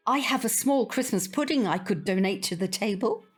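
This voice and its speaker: A woman's voice: medium pitch, expressive, moderate pace, breathy edge, faint music behind. A woman playing a prim, old-fashioned older British grandmother, opinionated and wistful, in an audio drama. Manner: prim, proper, hesitant around awkward subjects.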